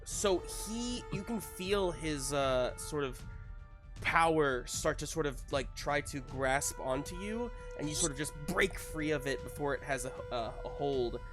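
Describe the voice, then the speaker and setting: A man's voice: fairly high pitch, lively intonation, measured pace, over music. A man speaking as game master, narrating a live tabletop game casually in a hesitant, improvised way.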